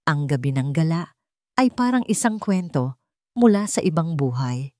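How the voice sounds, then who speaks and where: A woman with a Filipino accent narrating a dramatic scripted story in measured, deliberate phrases. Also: deep voice, animated, mellow, dry recording, clean studio sound.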